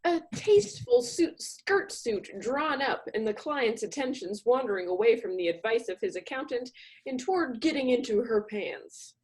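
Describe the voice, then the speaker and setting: A woman narrates comedy in a high, very expressive voice. She sounds theatrical and wry as she reads the script. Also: normal speed, rough recording. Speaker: comedy troupe performer, narrator.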